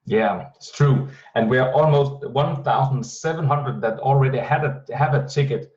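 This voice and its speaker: A man hosts a video-call meeting, welcoming everyone and running through event plans. His delivery is halting, with frequent pauses to search for words. The call audio is muffled and lo-fi. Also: mid-range voice, darkish tone. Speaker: host of an online team meeting. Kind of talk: welcoming, announcing event details.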